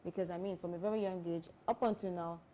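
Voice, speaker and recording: Lowish, mellow woman co-host on a tv studio show, asks gentle questions. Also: fairly even intonation, fast, slight background noise. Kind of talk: studio interview.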